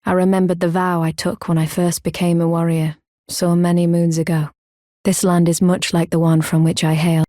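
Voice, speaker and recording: A woman playing a solemn warrior woman, low and even. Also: no room sound, clean studio sound, lightly acted. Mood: grateful, calm. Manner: solemn, measured, formal. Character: proud, earnest woman warrior, grown, stoic and honour-bound. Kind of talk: video game character acting, formal archaic speech.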